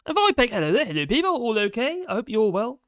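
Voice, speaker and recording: Hoarse streamer chatting live, meandering and self-deprecating, mid-pitched, dry close sound. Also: big pitch swings, quick pace, a bit bright, no echo. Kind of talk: casual live-stream chat.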